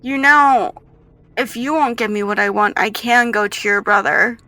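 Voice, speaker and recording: A woman's voice: a little high, steady tone, normal speed, bright, forceful tone, no room sound. A woman voicing a cruel female scientist villain in a tabletop game, condescending and mock-sweet, drawing out her taunts. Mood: menacing, taunting.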